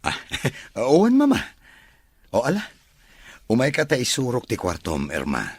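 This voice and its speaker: A man's voice: medium pitch, wildly swooping pitch, a little slow, slightly rough, no echo, studio quality, full character voice. A man playing a smitten, gullible rich bachelor who dotes on his mother, in an Ilocano radio drama. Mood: eager. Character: smitten, gullible rich mama's-boy bachelor, eager and soft-hearted.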